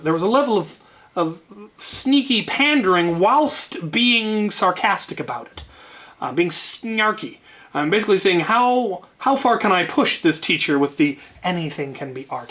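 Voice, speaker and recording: A man's voice: a little high, bright, forceful tone. A man telling an anecdote casually as a channel host, wryly, often repeating words as he gathers his thoughts.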